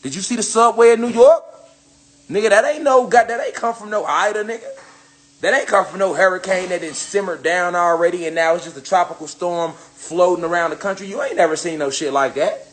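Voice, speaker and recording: Young male spiritual commentator, slightly high voice, loose profane preachy rant. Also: a little fast. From United States. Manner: profane, preachy, emphatic repetition. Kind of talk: casual rant to camera.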